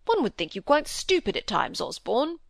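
A woman voicing a gruff, hot-tempered old country squire in an audiobook, blustering and emphatic, with rustic old-fashioned phrasing. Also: mid-range voice, expressive, brisk, fairly bright tone, no echo, clean studio sound. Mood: irritated. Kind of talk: audiobook character dialogue.

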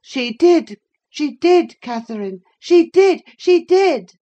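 A woman's voice: on the high side, slow pace, darkish tone, lo-fi sound, voice pushed up. A woman voicing a sickly, spoiled boy in an audiobook, petulant, needy and spiteful. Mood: fretful. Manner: petulant, wheedling. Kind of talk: dramatised audiobook reading of a classic novel.

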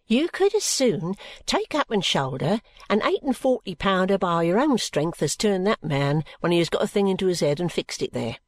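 Woman acting a scolding, lively soldier's wife. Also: a little high, normal speed, no room sound. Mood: fond indignation. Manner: indignant, emphatic, colloquial. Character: hearty, scolding, wholesome soldier's wife, sharp-tongued but fond. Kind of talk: storybook character dialogue.

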